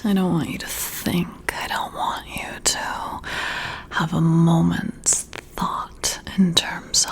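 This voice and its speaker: A woman playing a flirty, confident female boss in a breathy, whispered ASMR roleplay, teasing and coy with deliberate, drawn-out phrasing. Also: fairly low pitch.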